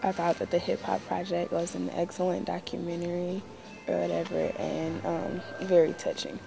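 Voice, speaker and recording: A woman's voice: fairly low pitch, flat delivery, moderate pace, creaky, music in the background. A woman in the audience answering a question in a hesitant, casual way. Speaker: audience member.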